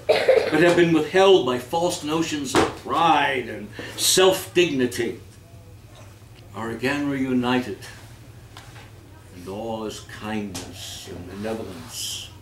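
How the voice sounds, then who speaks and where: A man's voice: mid-range voice, slow pace. Male host for live holiday readings and quiz banter, theatrical and playful, heard from a distance in a noisy, echoing hall. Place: indoor community event before an audience. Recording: echoey room, distant mic, noisy audience.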